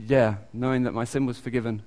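Man, British accent, lay preacher, halting with frequent ums, mid-pitched, long church reverb. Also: steady tone, a little mellow. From United Kingdom. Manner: halting, many ums and restarts. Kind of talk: informal sermon with audience questions. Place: church hall.

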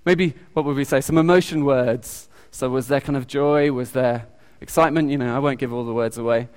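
A British man preaches in a church hall, and his voice rings with a long, echoey reverb. His pitch sits in the middle range for a man. He speaks haltingly and often pauses on ums as he searches for his words. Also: steady tone, a little mellow, clear, resonant tone. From United Kingdom. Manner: halting, many ums and restarts. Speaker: lay preacher. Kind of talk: informal sermon with audience questions.

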